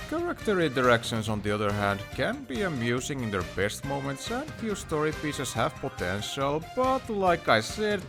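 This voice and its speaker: A man reading a scripted review as narration, opinionated and given to wry asides. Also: medium pitch.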